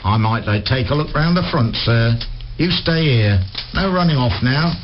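A British actor playing a dogged, suspicious police constable in a radio drama, with a measured, dryly sceptical manner. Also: male voice, mid-range voice, animated, close to an everyday voice. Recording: muffled, clearly noisy radio play recording.

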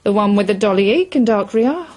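A woman voicing a dapper elderly gentleman in a story, gossiping archly in old slang. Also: medium pitch, lively intonation, a little fast, natural voice.

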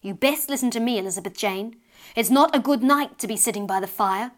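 A woman voicing a cross, weary mother who scolds her child in a sharp, stiff voice. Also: fairly high pitch, brisk, natural voice. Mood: scolding.

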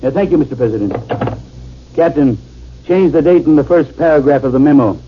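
An actor playing an army general with a commanding manner in a 1950s radio drama. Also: male voice, mid-range voice, a little fast, natural voice. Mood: urgent, dutiful. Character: brisk commanding male army general, gentle with the sick. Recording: dull, noisy old 1950s radio recording.

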